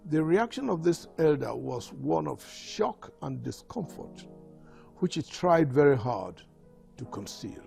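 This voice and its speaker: An older man with a Nigerian accent reading his memoir aloud in a measured storytelling manner. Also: a little high, sing-song, creaky, mellow, soft background music. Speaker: author reading from his autobiography.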